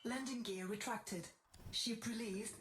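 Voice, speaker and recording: A woman voicing a calm spaceship computer in a game, giving clipped status announcements. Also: on the high side, slow pace. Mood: neutral, procedural. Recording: echoey, noisy game audio.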